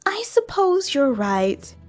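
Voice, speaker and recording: Independent young wife female actor, audio drama: high, unhurried, faint music. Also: big pitch swings, darkish tone, full character voice. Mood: reluctant. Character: proud, independent pregnant ninja wife. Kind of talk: fan audio drama acting.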